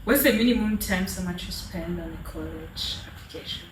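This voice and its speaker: A young woman hosts a relaxed college-student panel, lively and a little teasing. She is heard from across an echoing room. Clear background noise sits under her voice. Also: on the low side, a little fast. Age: young adult. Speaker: host. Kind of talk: casual panel chat. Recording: roomy, from a distance, background noise.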